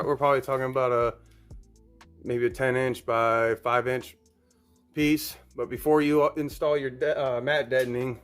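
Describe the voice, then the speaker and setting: A man's voice: medium pitch, fairly bright tone. A man hosting a DIY video, talking casually and plainly through each step as he works. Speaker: host of DIY car audio videos. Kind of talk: casual hands-on tutorial narration.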